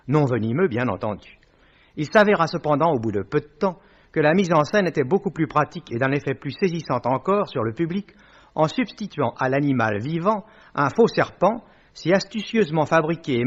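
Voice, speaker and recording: A man presenting a radio series with a formal, scripted introduction, polished and courteous in manner. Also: a little high. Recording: dull old radio broadcast recording.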